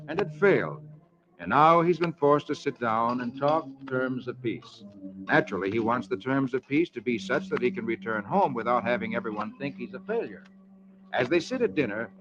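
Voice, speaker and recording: Animated man reading comics on old radio. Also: mid-range voice. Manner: animated, theatrical. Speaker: radio comics reader, host. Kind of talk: 1940s radio scripted storytelling. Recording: dull, noisy vintage radio recording.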